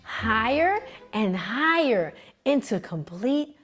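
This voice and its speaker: Lively, sing-song woman reading a story. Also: on the high side, slow, soft background music. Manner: animated, expressive, sing-song narration. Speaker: librarian reading aloud. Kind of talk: children's read-aloud storytelling.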